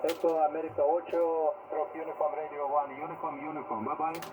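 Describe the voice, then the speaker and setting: Male ham operator, higher register, thin narrow-band radio signal, callsign exchanges. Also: level tone, a little fast. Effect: heard over shortwave radio. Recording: tinny narrow shortwave signal, clear static noise.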